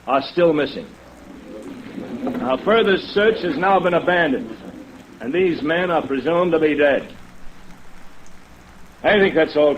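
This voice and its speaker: An actor playing a grizzled, informal old male harbor master, folksy and no-nonsense, in a 1950s radio drama. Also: on the high side. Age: older. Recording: dull, noisy old radio recording.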